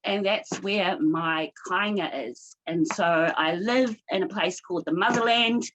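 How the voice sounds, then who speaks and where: A woman from New Zealand, a guest business owner, talking in an expressive, casual way from her rural home off the grid. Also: on the low side, unhurried, a little hiss or hum, low-quality recording.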